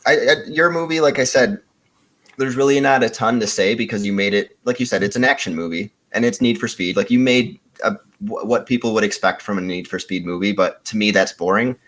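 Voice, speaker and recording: A man making his case as a podcast contestant in casual talk, halting and often repeating his words. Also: fairly low pitch, fairly bright tone. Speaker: podcast contestant pitching movie ideas.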